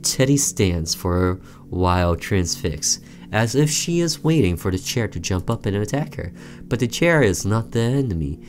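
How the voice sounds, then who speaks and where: A man's voice: lowish voice, lively intonation, normal speed, a little hiss or hum. A man doing let's play commentary, reading a visual novel aloud theatrically and breaking off for offhand asides.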